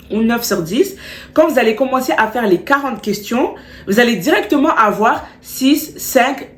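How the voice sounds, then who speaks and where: A young woman talks to her followers in a lively, slangy way, stacking repeated words for emphasis. Her voice sounds a little stuffy from a cold, sitting at a middle pitch. The room around her is echoey. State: stuffy, still has a cold. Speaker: beauty vlogger. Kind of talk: chatty storytime vlog with advice.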